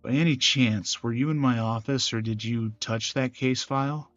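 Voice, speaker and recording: A man performing scripted dramatic dialogue from a visual novel in a measured, serious manner. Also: mid-range voice, steady tone, a bit bright, no room sound, muffled recording. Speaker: gaming channel creator voicing every character. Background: game music.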